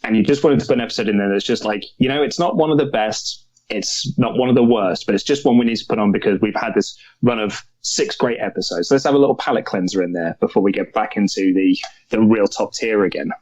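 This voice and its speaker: A man's voice: on the low side, quick pace, darkish tone, dull audio. A man talking casually and chattily as a podcast co-host, sharing opinions. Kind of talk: casual chat.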